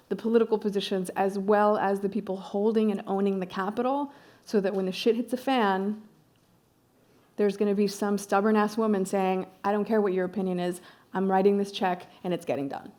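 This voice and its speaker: A woman's voice: mid-range voice, flat delivery, normal speed, darkish tone. A woman on a panel, passionate and blunt, dropping the odd swear word. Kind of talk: panel discussion.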